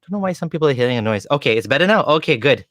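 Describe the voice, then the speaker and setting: A man's voice: medium pitch, expressive, racing, fairly bright tone, no room sound, studio quality. A man hosting a casual livestream interview, talking informally and often rephrasing himself as he goes. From Kenya. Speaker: interviewer, show host.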